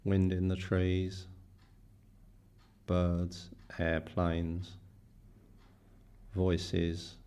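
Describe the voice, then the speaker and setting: A man with a low-pitched voice teaches a guided meditation. He speaks in short, slow phrases and leaves generous pauses between them, giving each instruction room before the next. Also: completely flat delivery, mellow, clear, resonant tone.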